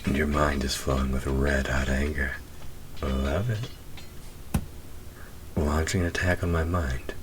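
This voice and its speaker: A man voicing a teasing, flirtatious winged demon in a roleplay audio drama, speaking intimately and often slipping into breathy whispers. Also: rumbling low, steady tone, measured pace, pauses often, background noise. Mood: playful, taunting. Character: teasing, flirtatious male demon with wings, secretly grieving.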